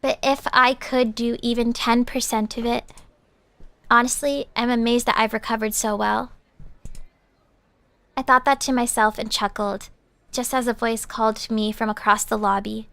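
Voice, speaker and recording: A woman streamer reading a visual novel's narration aloud, breaking off into loud, excited reactions. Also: fairly high pitch, moderate pace, creaky, clean tone, no room sound. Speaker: vtuber streamer. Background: game background music.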